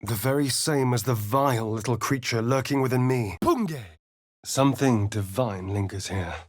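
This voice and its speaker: A man's voice: medium pitch, no echo, clean studio sound, exaggerated voice. A male actor playing a vain, sly, sardonic vampire adventurer in a video game, with a theatrical, haughty delivery. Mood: wry, wary. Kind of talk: video game character voice lines.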